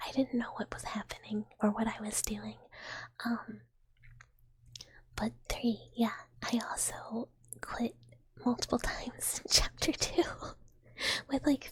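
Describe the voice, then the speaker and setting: A woman streamer whispering casual, giggly, rambling chat to her viewers. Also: on the high side. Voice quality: whispering, very breathy. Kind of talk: whispered ASMR chat while reading viewer comments.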